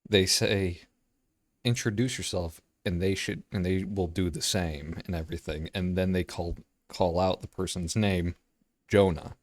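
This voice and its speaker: Guy streamer reacting n explaining stuff, really deep voice, super dry mic. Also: steady tone, unhurried, no room sound. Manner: analytical, explains what is noticed. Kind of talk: casual reaction commentary.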